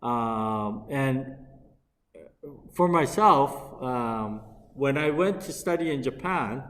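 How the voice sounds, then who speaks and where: Middle-aged guy giving a dharma talk, lots of pauses and restarts, long hall reverb, temple room. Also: mid-range voice, fairly bright tone. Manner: unhurried, hesitant, with frequent pauses and restarts. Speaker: Buddhist minister. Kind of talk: dharma lecture.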